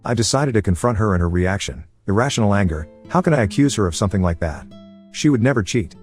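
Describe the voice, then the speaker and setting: A man narrating a forum post in the first person as a scripted story, with a brisk, steady reading manner. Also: lowish voice, faint music behind.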